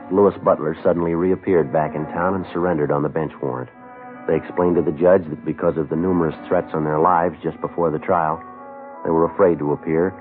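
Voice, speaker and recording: Deep-voiced, stoic police sergeant played by a man. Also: natural voice. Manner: terse, clipped, matter-of-fact. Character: stoic, businesslike male police sergeant narrating his case. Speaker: narrator and lead detective. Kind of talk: 1950s radio police drama, scripted narration. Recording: muffled old radio broadcast, music.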